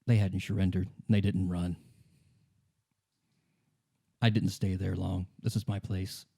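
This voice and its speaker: A man playing a bitter, weary old communist deserter in a radio play, a defiant former commissar who speaks haltingly and insistently. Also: low voice, level tone, gravelly, mellow, husky, exaggerated voice. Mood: haunted, reminiscing. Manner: halting, repeating himself, grimly insistent.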